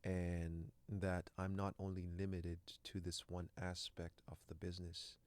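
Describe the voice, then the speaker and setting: A man's voice: sits low, little pitch movement, measured pace, a little mellow, smooth. A man from Canada whose first language is French, a guest actor speaking earnestly in an interview, often repeating words as he searches for them. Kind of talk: reflective interview talk.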